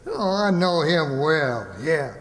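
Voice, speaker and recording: A man's voice: a little high, expressive, slow pace. A man playing a bumbling, shifty small-town lawyer in a radio comedy, stammering and rambling as he muddles his words. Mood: evasive, flustered. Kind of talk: old-time radio comedy acting on stage. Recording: echoey hall with long reverb.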